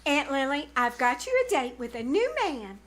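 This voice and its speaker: A woman's voice: high voice, sing-song, moderate pace. A woman playing a bossy, scheming teenage girl in old-time radio comedy style, emphatic and wheedling. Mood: persuasive. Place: live on a small theater stage.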